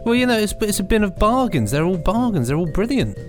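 A man's voice: high voice, sing-song, a little fast, music in the background. A man co-hosting a podcast with playful, casual banter. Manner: playful, jokey. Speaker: podcast co-host.

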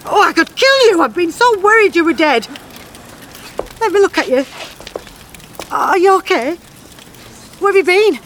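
A woman's voice: very high-pitched, expressive, a little slow, long pauses, a bit bright, a little hiss or hum, exaggerated voice. An actress playing a middle-aged mother, anxious and grieving for her missing son, in an earnest audio drama style. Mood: overwhelmed, tearful relief. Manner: emotional, earnest. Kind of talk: audio drama acting with narrated monologue.

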